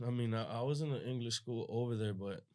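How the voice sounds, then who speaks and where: A man's voice: mid-range voice, fast, a little mellow. A man from Colombia whose first language is Spanish, talking as a podcast guest in a laid-back, slangy way. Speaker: guest, entrepreneur. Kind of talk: casual podcast talk.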